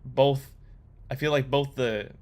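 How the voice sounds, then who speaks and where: A young man on a laid-back coding livestream, talking loosely to his chat with plenty of ums and restarts. His voice sits at an ordinary middle pitch, and keyboard typing is heard behind him. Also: takes it slowly. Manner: relaxed, rambling, reads chat aloud with many fillers. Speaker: livestreamer learning to code.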